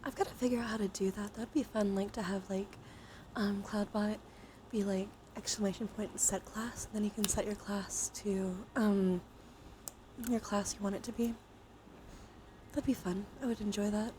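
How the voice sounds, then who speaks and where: Woman, ASMR livestream, soft and often whispered, gentle chatty delivery, average pitch, clear ambient noise behind. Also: moderate pace. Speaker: live streamer. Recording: clear steady background noise.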